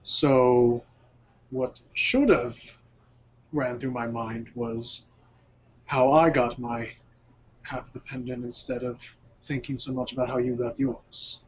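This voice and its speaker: A man playing a tabletop game, talking in a halting, rambling way with many restarts. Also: mid-range voice, little pitch movement, muffled recording, slight background noise. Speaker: player. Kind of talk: casual tabletop game chat.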